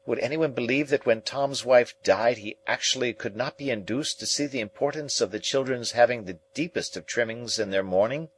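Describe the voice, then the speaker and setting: A man's voice: mid-range voice, normal speed, few pauses, husky, voice pushed up, lightly acted. A man voicing an indignant, self-pitying, theatrical middle-aged society lady in an audiobook, with emphatic, exclamatory delivery. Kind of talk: dramatised audiobook reading.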